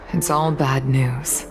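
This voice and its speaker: A woman's voice: very deep voice, exaggerated voice. A woman acting as a weary, reserved senior official in a video game, speaking tersely and without hurry. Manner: terse, sighing, unhurried.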